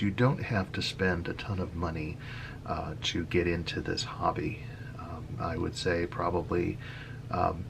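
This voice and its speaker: Low, gravelly-voiced man explaining casually. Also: background noise. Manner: halting, with frequent hesitant pauses. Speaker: hobby video host. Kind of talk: casual screen-share tutorial.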